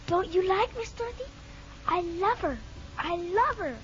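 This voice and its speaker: Very high-voiced, eager little girl. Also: lively intonation, a little slow, a bit bright, a little hiss or hum, heavily acted. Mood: puzzled, pleading. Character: excitable affectionate little girl, daughter. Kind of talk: 1950s radio drama acting. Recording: dull old 1950s radio recording.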